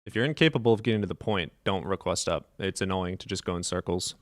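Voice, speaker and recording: A man's voice: low voice, quick pace, dry recording, studio quality. A man hosting a live stream debate, blunt and impatient, cutting in on callers. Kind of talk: combative debate.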